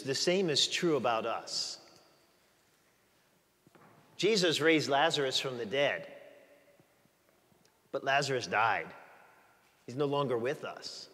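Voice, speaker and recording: Middle-aged male priest, fairly high voice, relaxed joking sermon delivery, long church reverb. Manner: chatty, joking with the congregation. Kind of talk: conversational sermon. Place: large church, walking the aisle before the altar.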